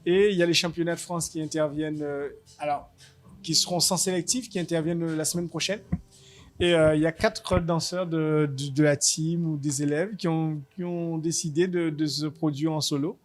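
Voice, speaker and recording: Halting, higher-voiced male school director. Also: steady tone. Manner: halting, many restarts. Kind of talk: interview answers.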